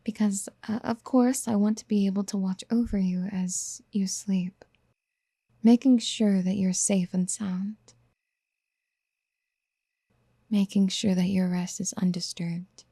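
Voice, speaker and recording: Woman acting a dark, whispery, doting yandere woman. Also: mid-range voice, fairly even intonation, no echo, clean studio sound. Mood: tender, protective. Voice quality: soft, breathy whispering. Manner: slow, tender, coaxing. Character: doting, possessive yandere woman, motherly and obsessive. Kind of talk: soft-spoken ASMR roleplay acting. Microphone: close mic.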